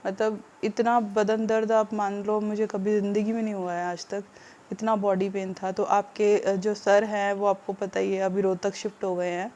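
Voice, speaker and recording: A woman from India, ill with a sore throat, talking to her viewers in a hesitant, subdued way with many pauses and fillers. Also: medium pitch, level tone, dark tone, a little hiss or hum. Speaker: vlogger. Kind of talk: casual vlog update to viewers.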